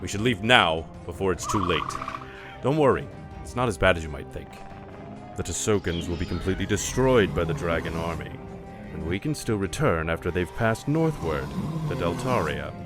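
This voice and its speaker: Actor as male dark elf legionnaire, loyal and pragmatic. Very low voice, measured delivery, fantasy audio drama with strong music underneath. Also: expressive. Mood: assured, agreeing. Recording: music behind the voice.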